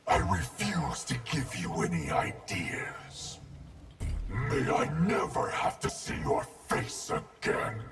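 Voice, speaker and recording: An actor playing a grim, commanding supernatural warrior in a video game, with a harsh gravelly voice and solemn, forceful delivery. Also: male voice, medium pitch, very animated, slow pace, over loud music, exaggerated voice. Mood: threatening. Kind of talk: video game fighter dialogue.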